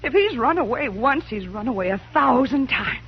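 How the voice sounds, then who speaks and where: A woman's voice: high voice, animated, normal speed, breathy edge, heavily acted. An actress playing a worried, weary frontier mother of a runaway boy, fretful and pleading, in a 1950s radio drama. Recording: dull lo-fi 1950s radio broadcast.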